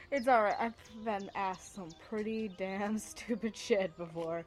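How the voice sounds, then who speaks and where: A woman's voice: mid-range voice, slow pace, natural voice. A young woman playing a sarcastic, foul-mouthed, distrustful student in an amateur radio drama, with a blunt, casual manner. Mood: casual, friendly.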